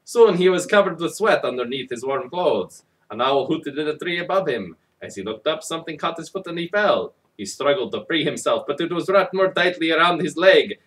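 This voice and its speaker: A man's voice: fairly high pitch, fairly bright tone. A man narrating a comic dramatic reading of a children's story, hammy and sarcastic, recorded at some distance from the microphone.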